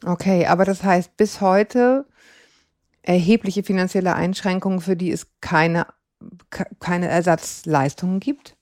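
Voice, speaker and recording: A middle-aged woman leads a conversational interview in a somewhat low voice. She is engaged and emphatic, readily voicing opinions, and the sound is dry without room echo. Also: steady tone, measured pace, a little mellow. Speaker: interviewer, host.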